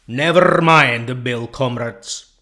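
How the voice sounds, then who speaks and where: A man voicing a domineering boar leader in a storybook reading, with loud, commanding oratory. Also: medium pitch, takes it slowly.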